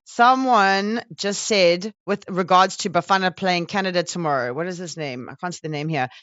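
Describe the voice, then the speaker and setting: A woman's voice: on the low side, normal speed, a bit bright, dry recording, muffled recording. A woman hosting a casual, playful interview in a chatty, teasing way. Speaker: sports show host, interviewer.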